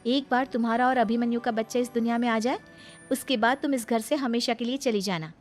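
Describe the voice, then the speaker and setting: Woman as a very high-voiced wronged young wife. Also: steady tone, fairly quick, music in the background, natural voice. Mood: indignant. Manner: earnest, pleading, protesting. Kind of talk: Hindi cartoon story voice acting.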